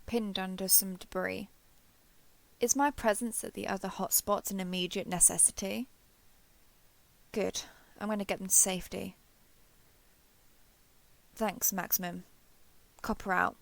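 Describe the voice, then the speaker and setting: A woman playing a giant friendly alien robot soldier in an audio roleplay, gentle, reassuring and a little awkward with the listener. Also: medium pitch, steady tone, measured pace, vocal fry, pauses often, dry recording. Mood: businesslike report. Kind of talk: scripted roleplay audio drama addressed to listener.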